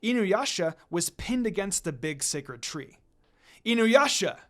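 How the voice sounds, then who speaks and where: An amateur story reader narrates dramatically. The delivery has a mid-range pitch that rises and falls widely. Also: very animated, measured pace.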